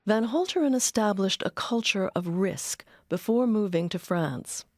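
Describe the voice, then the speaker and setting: A woman reading scripted documentary narration in a measured, informative manner. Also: medium pitch, expressive, slight background noise. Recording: faint music bed under narration.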